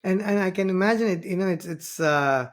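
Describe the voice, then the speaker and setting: A man from southern India whose first language is Tamil, interviewing a guest in a loose, conversational way. He talks around his points with frequent fillers and self-corrections, in a slightly higher-than-usual register. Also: a little fast. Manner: rambling, many fillers and restarts. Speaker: podcast host.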